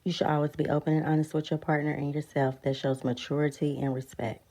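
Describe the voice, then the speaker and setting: Low, flat-voiced woman host. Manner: measured, even-toned opinion piece. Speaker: channel host. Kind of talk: scripted commentary.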